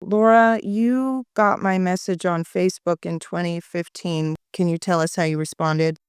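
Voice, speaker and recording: A woman with a fairly low, very clean and smooth voice speaks as a documentary interviewer. Her delivery is reflective, and the sound is dry and close. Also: steady tone, moderate pace, no room sound.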